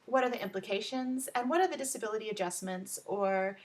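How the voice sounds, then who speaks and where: A woman's voice: mid-range voice, brisk. A woman from Texas with an American Texan accent, giving an informal explanatory introduction to her work as an advocate and coach. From Texas, United States. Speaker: education advocate, coach and tutor introducing own service. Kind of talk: informal explanatory talk to camera.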